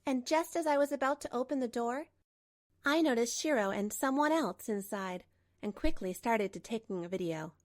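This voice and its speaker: A woman's voice: a little high, normal speed. A woman reading a scripted first-person drama narration for a manga story dub. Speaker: narrator and lead voice actor.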